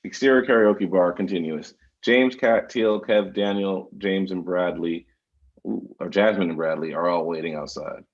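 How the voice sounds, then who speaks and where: A man's voice: deep voice, normal speed. A man reading stage directions as scripted narration at a table read, on a dull recording. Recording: dull lo-fi sound.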